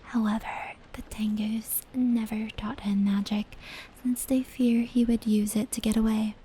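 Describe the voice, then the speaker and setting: Soft, slow-spoken gentle fox spirit played by a woman. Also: a little high, close to an everyday voice, low-quality recording. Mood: calm storytelling. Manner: soft, breathy, soothing, unhurried. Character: gentle female fox spirit storyteller, caring and knowing. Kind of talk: ASMR roleplay storytelling. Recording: steady rain and brushing noise layered in. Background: indoor rain patter and brushing sounds.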